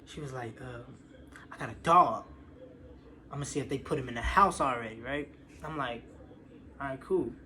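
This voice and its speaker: A man's voice: mid-range voice, normal speed, long pauses. A young American man casually telling a personal story in slangy, expletive-heavy speech, acting out what people said. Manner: animated slangy retelling with acted-out quotes, swearing freely. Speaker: online storyteller. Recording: clearly noisy background.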